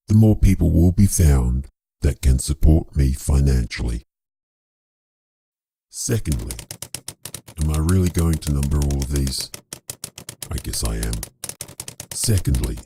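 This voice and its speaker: A man with a gravelly voice giving a scripted appeal to viewers as a storyteller, in a formal, wordy, drily playful manner. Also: a bass voice, warm tone, dry recording, studio quality. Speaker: storyteller and creator addressing viewers.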